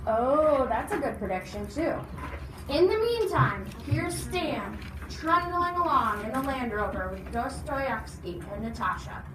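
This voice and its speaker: A woman's voice: high voice, animated, measured pace. A woman reading a storybook aloud expressively, stopping to explain and ask questions. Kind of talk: animated storybook read-aloud with asides. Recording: noisy, echoey room, distant mic.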